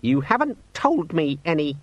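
A man's voice: fairly high pitch, big pitch swings, moderate pace, no room sound, dull audio, pitched above the performer's own voice. A man voicing a weary, dry-humoured male watch commander in a storybook, battered and muttering. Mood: resigned. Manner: wry, tired, muttering. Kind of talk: audiobook character voice.

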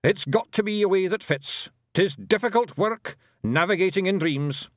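A man voicing a scrappy, boastful tiny male pixie chieftain with a gruff Scottish brogue and cheeky manner. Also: sits high, animated, normal speed, no room sound, clean studio sound, pitched above the performer's own voice.

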